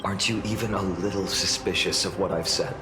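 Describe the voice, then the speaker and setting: An actor playing a solemn, mysterious wandering swordsman in a video game, speaking gravely, courteously and with deliberation. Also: sits low, fairly even intonation, brisk, close to an everyday voice. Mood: earnest. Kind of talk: video game voice acting and narration. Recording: echoey, long reverb, music behind.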